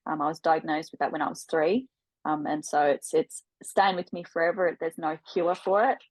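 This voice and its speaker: A woman's voice: low voice, fairly even intonation, normal speed, dry recording, rough recording. A woman in her twenties with an Australian accent, a podcast guest talking casually with many ums and repeated words. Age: 20s. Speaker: guest, aspiring life coach. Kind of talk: casual interview talk.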